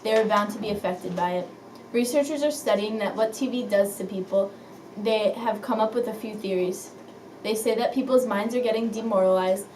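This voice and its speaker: A woman's voice: medium pitch, moderate pace, darkish tone, close to an everyday voice. A woman playing an earnest 1950s news anchor, reading scripted items in an amateur mock newscast. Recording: echoey room, distant mic, faint noise.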